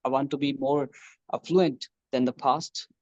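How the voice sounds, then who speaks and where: A man's voice: medium pitch, fairly even intonation, unhurried, no echo, lo-fi sound. A young man from Pakistan, a computer science student, speaking English as a learner in a casual practice conversation, hesitant with many fillers and restarts. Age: young adult.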